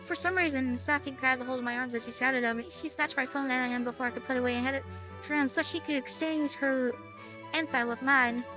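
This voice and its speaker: A woman reading a visual novel aloud as a game streamer, casually and haltingly, dropping in side comments of her own. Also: high voice, steady tone, over music, poor audio. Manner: halting, stumbling read-aloud.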